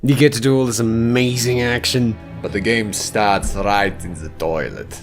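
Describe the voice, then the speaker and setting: A man's voice: fairly low pitch, moderate pace, soft background music. A man narrating a scripted video essay, with theatrical, sardonic emphasis.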